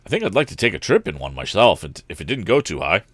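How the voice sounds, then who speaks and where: A straightforward, conscientious young pal from an adventure storybook, as a man reads him. He speaks conversationally with animated rises and falls. The recording is dry and close. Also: low voice, fast, slightly rough, dry recording, exaggerated voice. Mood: curious. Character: conscientious young bank employee, inventor's chum. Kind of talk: audiobook character voice.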